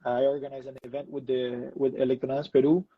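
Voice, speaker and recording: A man's voice: medium pitch, flat delivery, fairly quick, narrow, tinny audio, a little hiss or hum. A man from Ecuador, an electro dancer, talking casually in an interview with many fillers and restarts.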